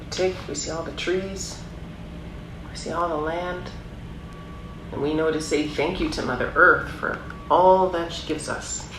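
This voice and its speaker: A woman with a slightly low voice reads a story aloud for young children. She speaks in an encouraging way, mixing the book's text with chatty explanations and questions. The recording is echoey, with noticeable background noise. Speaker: storyteller reading a children's picture book aloud. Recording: roomy echo, clear background noise.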